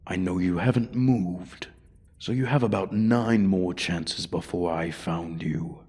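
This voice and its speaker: Very deep, husky menacing dragon voiced by a man. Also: a little mellow, heavily acted. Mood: cold threatening. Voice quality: low, husky, breathy. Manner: slow, taunting, condescending menace. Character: menacing male dragon toying with human prey. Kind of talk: ASMR fantasy audio roleplay.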